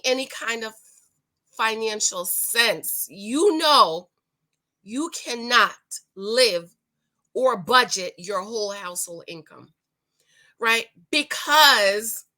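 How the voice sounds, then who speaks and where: A hoarse woman with a raspy voice, giving preachy, emphatic relationship commentary straight to her viewers. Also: a little high, bright, forceful tone. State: hoarse, voice still recovering. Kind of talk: casual talk to camera.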